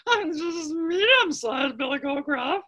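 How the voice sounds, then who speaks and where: A woman voicing a nervous, wavering, excitable middle brother billy goat in a storybook tale. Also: high voice, a little slow, pitched above the performer's own voice, heavily acted.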